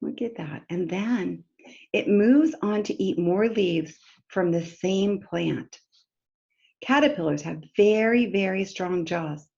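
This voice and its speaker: A woman reading a picture book aloud to young children like a teacher, pausing to explain simply and ask them questions directly. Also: mid-range voice, vocal fry, mellow, highs cut off. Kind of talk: read-aloud story time lesson for young children, with explanatory asides.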